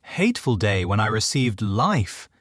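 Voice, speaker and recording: A man's voice: lowish voice, big pitch swings, measured pace, no room sound, clean studio sound. A man voicing a wretched, lonely and bitter monster from a gothic storybook, with formal, anguished, dramatic phrasing.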